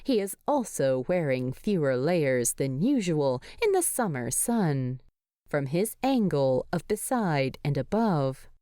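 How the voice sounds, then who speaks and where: A woman's voice: low-pitched, mellow, no room sound, clean studio sound. A woman reading a scripted story aloud as its narrator. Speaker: fan fiction narrator.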